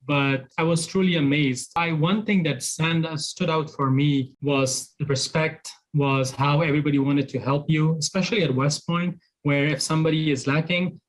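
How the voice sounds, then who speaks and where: Guy from afghanistan, guest on an interview, halting, lots of restarts and uh's. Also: on the high side, poor audio. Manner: halting, many restarts and fillers. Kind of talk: casual interview.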